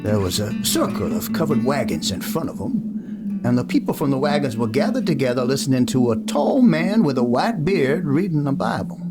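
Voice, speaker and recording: A man's voice: medium pitch, big pitch swings, normal speed. A man playing a folksy cowboy storyteller narrating a Western radio play. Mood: storytelling. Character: folksy cowboy storyteller telling a boy a tale. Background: guitar music.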